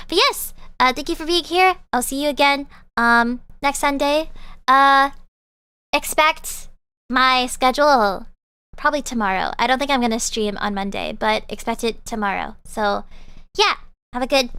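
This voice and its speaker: A bubbly young woman streamer wraps up her session in loose, rambling chatter, filling the gaps with ums and likes. Her voice sits high and smooth. The sound is dry and close to the mic. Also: sharp tone, dry recording. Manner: bubbly, rambling with many fillers. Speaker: VTuber streamer. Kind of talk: casual live-stream chat.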